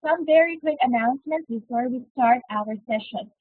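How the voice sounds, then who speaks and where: A Filipino woman hosts a webinar in a polite, formal way, with fillers between her announcements. Her voice is high and breathy. It comes through a tinny, dry call line. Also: normal speed, no echo. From Philippines. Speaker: webinar host. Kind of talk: hosting an online webinar. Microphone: computer mic on a video call.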